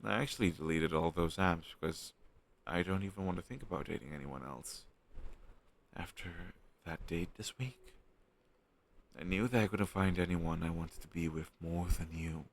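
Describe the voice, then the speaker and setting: Guy acting as a shy lovestruck best friend, young, deep husky voice, halting n tender, romance roleplay. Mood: tender, nervous. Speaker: audio roleplay performer.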